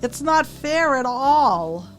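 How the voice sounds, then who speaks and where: A woman's voice: high voice, animated, slow, faint music behind, poor audio. A woman voicing a worn old female railway coach who grumbles and complains in a storybook audio drama. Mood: grumbling.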